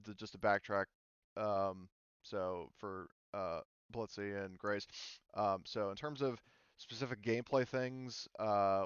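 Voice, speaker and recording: Male game master, low register, dry close sound, casual and hesitant explainer. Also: clear, resonant tone, no echo, lo-fi sound. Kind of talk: casual explanatory group discussion before a tabletop game. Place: online voice call.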